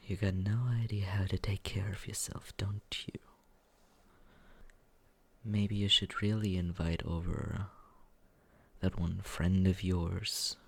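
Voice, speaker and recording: A young man voicing a smug young man who teases and quietly looks after the listener, in a close, soft, whispered roleplay. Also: sits low, vocal fry, husky, heavily acted. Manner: teasing, condescending, soft whispering. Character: smug teasing young man, mockingly caring. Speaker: ASMR roleplay performer.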